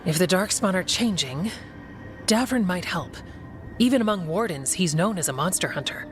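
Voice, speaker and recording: A woman's voice: lowish voice, expressive, moderate pace, faint music behind, close to an everyday voice. An actress playing a practical female warden in a fantasy video game, matter-of-fact and dry.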